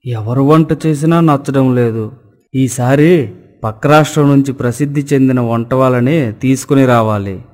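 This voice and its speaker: A man's voice: mid-range voice, normal speed, a little mellow, in a large hall, lightly acted. A man playing a fussy, gluttonous village landlord in a cartoon story, talking in a bossy, demanding way. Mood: dissatisfied.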